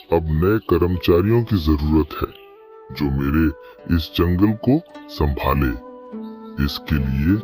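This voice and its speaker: An aging lion rules his cartoon jungle with a regal, trusting air, acted by a man. The voice is extremely low and weighty. Every phrase comes out slowly and deliberately, over a music bed. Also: darkish tone, heavily acted. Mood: solemn, commanding. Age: older. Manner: slow, weighty, regal speech. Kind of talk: cartoon story character acting.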